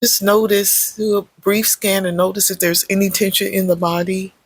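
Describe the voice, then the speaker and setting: A meditation guide with a husky, creaky voice, speaking gently in short, measured phrases with pauses between them. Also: mid-range voice, little pitch movement, no echo. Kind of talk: guided meditation, unscripted spoken guidance.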